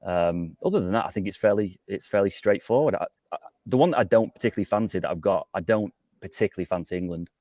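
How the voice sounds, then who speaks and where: Guy doing football analysis, really quick, deep voice, close dry sound, doubles up on words. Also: darkish tone, dry recording. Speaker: football pundit. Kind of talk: conversational match analysis.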